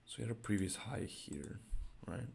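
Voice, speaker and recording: A young man with a raspy voice, thinking aloud in low-key, casual commentary while streaming his day trading live. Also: expressive, normal speed, a little mellow. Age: young adult.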